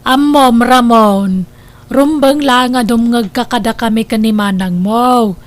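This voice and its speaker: Woman doing a naggy older ilocano mom in a radio drama, high pitched, scheming, scolds a lot, ilocano accent. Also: unhurried, lightly acted. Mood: suspicious, persuasive. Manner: insistent, scolding. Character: scheming, nagging older mother, possessive of son's money. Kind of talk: Ilocano audio drama acting.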